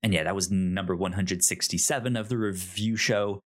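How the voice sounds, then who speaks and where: A man co-hosting a review podcast in casual chat, with a halting, thinking-aloud delivery. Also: low-pitched, steady tone. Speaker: podcast co-host.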